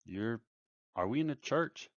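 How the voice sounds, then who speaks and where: A man playing a tabletop game, talking casually in character, blunt and profane with halting phrasing. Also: medium pitch, expressive, no room sound, lo-fi sound.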